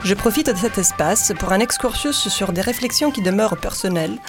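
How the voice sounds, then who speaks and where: A woman with an Italian accent, hosting and reading a reflective segment in a measured way, with occasional repeated syllables. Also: medium pitch, expressive, over music. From Italy. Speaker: podcast host. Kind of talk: reflective scripted commentary.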